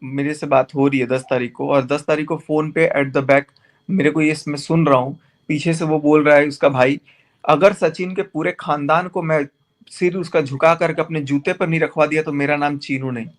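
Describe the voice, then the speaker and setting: A high-voiced man on a recorded group phone call, pressing his point hard. He sounds argumentative and insistent, in the thick of a heated personal conversation. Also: steady tone, normal speed.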